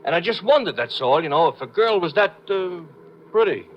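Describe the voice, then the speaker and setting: A simple, eager cabbie in a 1950s radio show. His voice sits a little high and swings freely as he talks in shy, slangy phrases. The old recording is muffled. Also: sing-song, a little fast, slight background noise. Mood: bashful. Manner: hesitant, plain-spoken, slangy. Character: eager, bashful, simple-hearted male cab driver. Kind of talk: 1950s radio drama acting.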